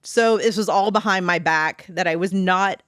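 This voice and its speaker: A woman's voice: fairly low pitch, normal speed, a bit bright, no room sound, clean studio sound. A woman co-hosting a podcast, speaking in an earnest, confessional, emphatic way. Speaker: podcast co-host. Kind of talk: casual chat.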